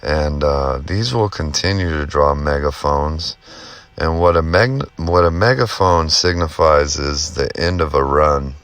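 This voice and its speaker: A man's voice: extremely low pitch, a little slow, a bit bright, dry recording. A man giving casual, off-the-cuff market commentary as a video host, in a folksy, self-deprecating way.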